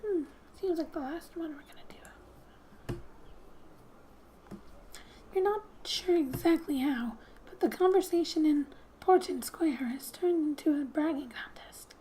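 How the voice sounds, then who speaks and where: A woman speaking softly and breathily, often near a whisper, as she gently reads game text aloud for an ASMR gaming video. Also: high voice, measured pace, long pauses, slight background noise.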